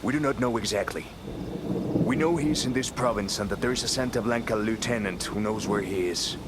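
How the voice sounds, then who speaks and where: A man's voice: lowish voice, a little fast, close to an everyday voice. A man playing a proud, distrustful rebel leader, formal and accusing. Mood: urgent, stern. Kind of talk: dramatic game cutscene dialogue. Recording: clearly noisy background.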